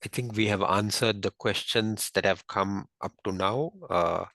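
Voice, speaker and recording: A man speaking as a finance executive on an investor call, explaining in a halting, measured, unscripted way. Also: fairly low pitch, fairly even intonation, gravelly, a bit bright, dry recording. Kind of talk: unscripted business earnings call.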